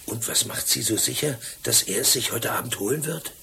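An actor playing a wry, deferential police sergeant with a husky voice in a German radio crime drama. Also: male voice, medium pitch, fairly quick, sharp tone, slight background noise. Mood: hushed, puzzled. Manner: wry, questioning, respectful.

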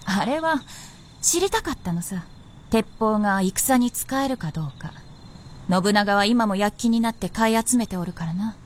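A woman's voice: mid-range voice, lively intonation, normal speed, slight background noise, lightly acted. An actress playing a knowing young noblewoman who talks in blunt, masculine phrasing with self-assurance, in a radio drama. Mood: cool, musing. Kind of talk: Japanese radio drama acting.

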